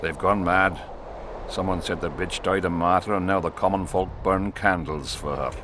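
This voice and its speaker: A man's voice: low voice, fairly even intonation, moderate pace, background noise, lightly acted. An actor playing a crude, arrogant king in a video game, blunt, scornful and commanding. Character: crude, arrogant, imperious male warrior king.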